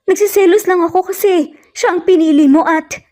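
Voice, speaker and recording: A woman's voice: very high voice, normal speed, darkish tone, pitched above the performer's own voice. A woman voicing a jealous young woman, pleading and emotional, in a romance audio drama.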